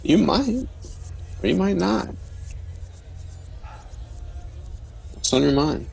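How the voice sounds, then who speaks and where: A man's voice: high voice, very animated, normal speed, creaky, a little mellow, faint music behind, exaggerated voice. An actor playing a blunt, flirtatious man who talks like a probing amateur therapist in an audio drama. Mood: frank, reassuring. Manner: frank, probing, explanatory.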